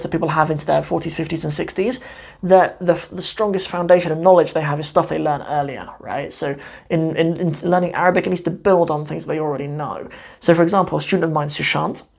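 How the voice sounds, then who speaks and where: A man's voice: on the high side, gravelly, a little mellow. A man hosting his own show about learning Arabic, talking casually and filling his speech with "like" and "right?". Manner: chatty, many fillers like "like" and "right?". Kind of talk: casual solo talk to camera.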